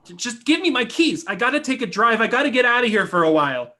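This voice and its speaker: A man's voice: very high voice, very animated, quick pace, bright, forceful tone, exaggerated voice. A man playing an embittered, stammering screenwriter in a play reading, wounded and defiant. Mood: indignant. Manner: stammering, protesting.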